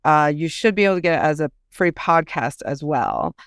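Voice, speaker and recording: A woman's voice: deep voice, very animated, normal speed, no room sound, clean studio sound. A woman talking casually as a guest author in an interview, thinking aloud in a chatty, hesitant way.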